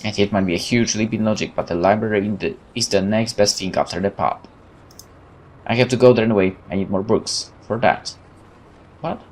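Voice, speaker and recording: A man's voice: lowish voice, fairly quick, a little mellow. A man reading a visual novel aloud as a let's play host, with informal muttered asides and interruptions to himself.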